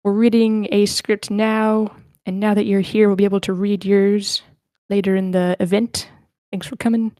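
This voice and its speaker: A woman's voice: medium pitch, level tone, moderate pace, dark tone, no echo, clean studio sound. A woman hosting an online table read, talking casually and informally as she organizes the cast. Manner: informal, chatty. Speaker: host of online script table reads.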